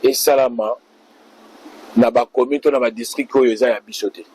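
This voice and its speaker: A man's voice: mid-range voice, steady tone, moderate pace, a little mellow, a little hiss or hum, low-quality recording. A man answering interview questions as a party official, speaking in a speechlike way to the public.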